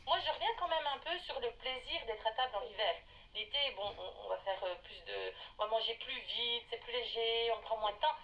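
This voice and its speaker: A woman's voice: fairly high pitch, brisk, vocal fry, hardly any pauses, highs cut off. A woman talking casually as a guest in a radio discussion.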